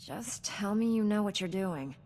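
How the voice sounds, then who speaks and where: An actress playing a composed, gently teasing young Jedi knight in a video game, measured and earnest. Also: female voice, mid-range voice, expressive, long reverb tail, natural voice. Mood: concerned. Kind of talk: video game cutscene acting.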